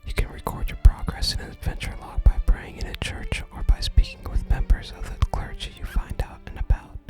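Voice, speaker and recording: A gaming ASMR host whispers softly and breathily, close to the microphone. The delivery is hushed storytelling, moving between game text and quiet commentary. Music plays clearly underneath the whisper. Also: a little fast. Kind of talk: whispered ASMR game playthrough, reading game dialogue aloud.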